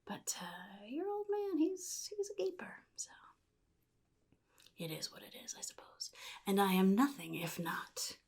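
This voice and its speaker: A woman voicing a chaotic, jittery male clown supervillain in soft-spoken ASMR roleplay, half-whispering and rambling in halting, sly phrases. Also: mid-range voice, sing-song, heavily acted. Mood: rambling, teasing. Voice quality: breathy, gravelly, half-whispered. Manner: halting, rambling, sly, addresses listener as kid.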